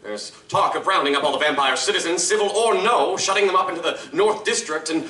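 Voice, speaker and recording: A man's voice: on the high side, brisk, sharp tone. A man playing an earnest, blustery American city mayor with formal, officious speech in a live audio drama. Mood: officious, worried. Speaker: stage actor. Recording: roomy live stage, distant mic.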